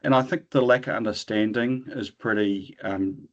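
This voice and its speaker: A low-voiced man talks as a panelist, hesitant and circling back to restart his sentences. His sound is muffled and lo-fi, like a video call line. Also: moderate pace. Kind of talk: webinar panel discussion.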